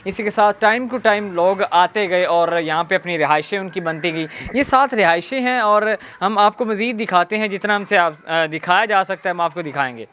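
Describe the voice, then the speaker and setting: A man with a Pakistani accent presenting a walk-through documentary on location, explaining in a halting way. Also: high-pitched, sharp tone. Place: on location in an old fort's streets.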